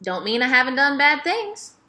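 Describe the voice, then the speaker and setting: A woman's voice: high-pitched, sing-song, normal speed, voice pushed up, full character voice. A woman voicing a frank, wise, kindly old Southern woman with folksy dialect in a children's storybook. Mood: frank, regretful. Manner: folksy dialect, gently admonishing. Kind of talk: children's novel read-aloud.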